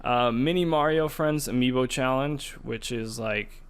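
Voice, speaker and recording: A man's voice: medium pitch, measured pace, clean tone. A man hosting a podcast, casually discussing gaming news in a conversational way, often restarting his phrases. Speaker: podcast host.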